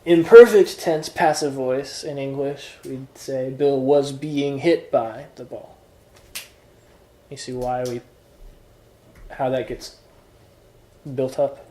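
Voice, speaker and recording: A man's voice: medium pitch, slow, long pauses, warm tone, roomy sound, slight background noise. A man teaching introductory Greek grammar in an informal lesson, explaining patiently step by step with examples. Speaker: Greek tutor.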